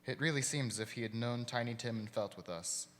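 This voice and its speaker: Man acting a gentle grieving father in a hall. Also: mid-range voice, a little fast, lightly acted. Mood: gentle, wistful. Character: gentle, grieving working-class father and husband. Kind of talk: live radio theater acting. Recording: reverberant hall.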